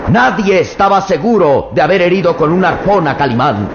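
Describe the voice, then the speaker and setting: A male narrator tells a radio adventure with tense, suspense-building delivery. His voice sits fairly high. The recording is roomy and dull, with loud hiss throughout. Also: expressive, fairly quick. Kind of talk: dramatic radio serial narration. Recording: echoey, muffled old radio with loud hiss.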